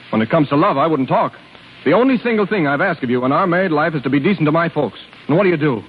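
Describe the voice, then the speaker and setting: A muffled old radio recording with music frames a fast-talking, slightly high-voiced young husband. He is brusque and accusing, in 1930s radio drama style. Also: expressive, husky. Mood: indignant, accusing. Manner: brusque, indignant.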